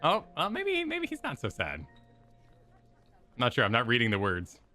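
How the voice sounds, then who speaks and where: A man's voice: medium pitch, wildly swooping pitch, normal speed, sharp tone. A man chatting casually and jokingly as co-host of a game reaction stream. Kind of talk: casual chat commentary. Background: faint game music.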